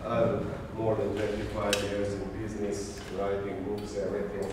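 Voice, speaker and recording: A man's voice: fairly low pitch, flat delivery, moderate pace, recorded from a distance. A middle-aged man from Slovenia with a Slovenian accent, giving a technical conference talk and naming items one by one with light self-deprecating humour. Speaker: conference speaker. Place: large conference hall. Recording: echoey hall, speaker off-mic, audible noise.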